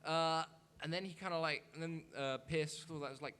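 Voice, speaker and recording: A young man talking casually on a convention panel, halting and scattered as he fields questions from the audience. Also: fairly high pitch, steady tone, bright, forceful tone, smooth. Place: convention meeting room with audience. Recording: live convention room recording.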